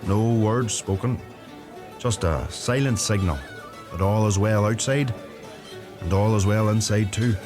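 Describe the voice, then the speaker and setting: Actor as a low-voiced gentle young Donegal farmer. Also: male voice, a little slow, pauses often, lightly acted. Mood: wistful. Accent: Donegal Irish. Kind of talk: radio play acting. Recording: music under the voice.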